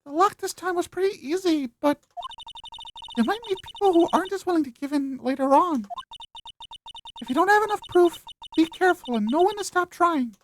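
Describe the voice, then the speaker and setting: Someone putting on a very high sweet girlish voice. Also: animated, fairly quick, pauses often, faint music behind. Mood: gentle, advising.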